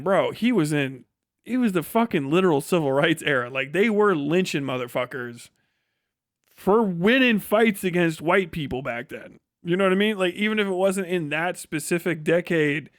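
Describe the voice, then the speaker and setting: A man's voice: a little high, no room sound, clean studio sound. A man live streaming a casual, opinionated monologue in a profane, slangy, rambling and emphatic way.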